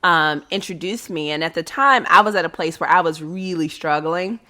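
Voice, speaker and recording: A woman's voice: lowish voice, normal speed, sharp tone. A woman co-hosting a podcast, telling anecdotes in a casual, effusive, self-mocking way. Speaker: podcast co-host. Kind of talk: casual chat, animated anecdotes.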